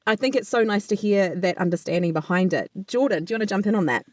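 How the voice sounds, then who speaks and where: A bubbly woman with a slightly lower voice talks with enthusiasm and slips into laughter often. Her sound is dry and close. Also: quick pace, no room sound. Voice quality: a little deeper voice. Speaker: podcast co-host, voice actor. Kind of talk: casual chat.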